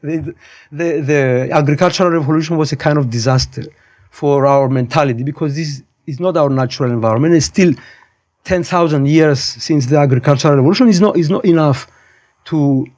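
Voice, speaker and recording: A man in his fifties with a Cypriot accent speaks in a fairly high register. He talks casually as a podcast guest, giving firm opinions, wandering off and restating his points. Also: fairly quick, a little mellow. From Cyprus. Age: 50s. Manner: opinionated, digressive, repeats and rephrases points. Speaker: podcast guest, high school physics teacher. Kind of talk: casual conversational interview.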